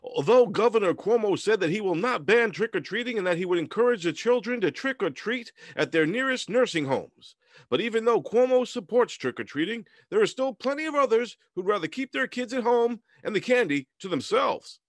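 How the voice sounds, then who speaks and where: A man's voice: high-pitched, expressive, a little fast, no room sound. A man playing a polite, earnest news anchor in a comedy parody, speaking in a formal, agreeable, mock-serious way. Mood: announcing. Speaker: news host.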